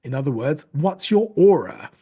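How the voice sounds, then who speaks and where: Brief: man from Sri Lanka, husky, lively intonation, patient teacher explaining step by step. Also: on the high side, moderate pace. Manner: patient and explanatory, with frequent restarts. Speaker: storytelling coach. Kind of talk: online teaching talk.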